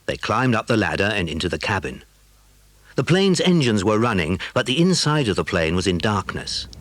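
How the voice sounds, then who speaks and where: A man's voice: fairly low pitch, very animated, moderate pace, fairly bright tone, no room sound. A man narrating a children's adventure story from a script.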